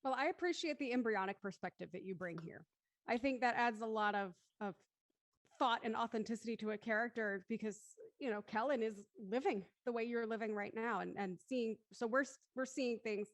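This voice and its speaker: A woman hosts a casual panel in a fairly high voice. The sound is dry and close, and she speaks conversationally as a moderator. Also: brisk, no echo. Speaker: panel host.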